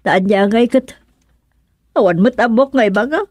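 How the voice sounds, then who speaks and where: A woman's voice: fairly high pitch, measured pace, a little mellow, slightly rough, dry recording. A woman with an Ilocano accent playing a fretful older aunt in a radio drama, worried and plaintive, sighing as she talks. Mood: anxious. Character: fretful older aunt anxious over her ailing husband.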